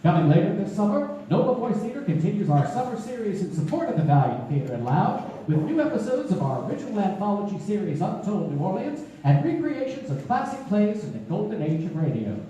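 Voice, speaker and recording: A woman's voice: mid-range voice, sing-song, brisk, few pauses, dull audio, a crowd in the background. A woman reading scripted sponsor announcements in a polished, promotional manner. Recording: echoey theater from far off, faint noise.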